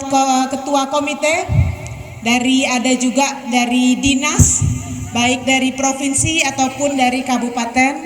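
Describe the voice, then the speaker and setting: Woman, about 30, high voice, playful speaker working a student crowd in an echoey hall. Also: a little hiss or hum. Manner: chatty, teasing, calls students up front. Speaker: guest speaker visiting a school. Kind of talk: lively speech to students, joking and quizzing.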